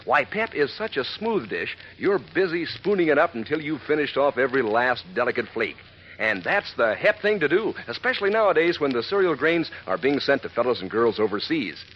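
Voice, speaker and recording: A man's voice: medium pitch, sing-song, fairly quick, lightly acted. A man delivering a folksy, peppy breakfast commercial in the manner of a 1940s radio announcer. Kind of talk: 1940s radio advertising pitch. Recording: muffled, hissy 1940s radio recording.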